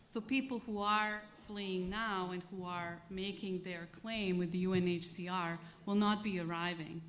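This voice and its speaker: A woman's voice: fairly low pitch, steady tone, a little slow, a bit bright, a little hiss or hum. A woman moderating a panel and explaining things to an audience.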